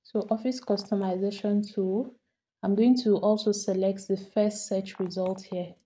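Mellow-voiced woman, level and unhurried, walking viewers through a computer setup one click at a time. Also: mid-range voice. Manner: steady step-by-step instruction, repeats each step. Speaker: tutorial host. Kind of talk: screen-recorded software tutorial.

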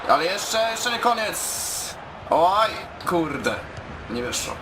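A man's voice: high-pitched, very animated, slow, background noise. A man giving casual commentary as he plays a video game, exclaiming and muttering along. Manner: exclaims and mutters while playing. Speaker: gaming video host. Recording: music behind the voice.

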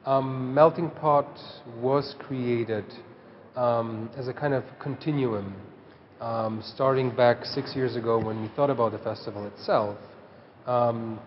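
A man's voice: medium pitch, steady tone, moderate pace, muffled recording, a little hiss or hum. A man in his thirties, a festival's artistic director, speaking in an interview with many hesitations, outdoors on a street.

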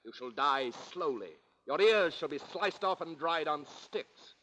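An actor playing an imperious, blustering Arabian desert villain in a 1940s radio comedy. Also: male voice, on the high side, expressive, unhurried, pushed and bright, slight background noise. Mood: gloating menace. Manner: blustering, commanding, florid threats. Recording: old 1940s radio broadcast recording.

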